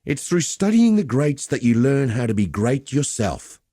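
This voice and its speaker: An actor playing a cheerful, rough-and-ready arena fight master in a video game, chatty and blokey. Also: male voice, medium pitch, big pitch swings, moderate pace, mellow, no room sound, studio quality. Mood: proud storytelling. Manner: chatty, cocky, blokey storytelling with colloquial swearing. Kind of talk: video game character dialogue.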